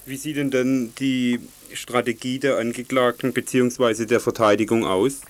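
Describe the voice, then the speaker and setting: A man's voice: mid-range voice, flat delivery, normal speed. A man reporting radio news and asking interview questions as a reporter.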